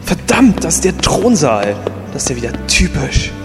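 A man's voice: fairly high pitch, sing-song, moderate pace. A young man acting scripted adventure dialogue in an audio drama, with a wry manner. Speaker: performer playing himself in audio drama. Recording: music bed behind the voice.

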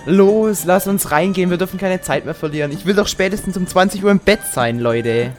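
A man's voice: high voice, fairly quick, natural voice. A man playing a fretful, incredulous young adventurer in an amateur comedy audio drama, whiny and dramatic. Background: music.

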